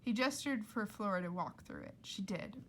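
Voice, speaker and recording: A woman reading a children's novel aloud in a dramatic, expressive storytelling style. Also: mid-range voice, normal speed, a little hiss or hum. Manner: dramatic, with emphatic character voices.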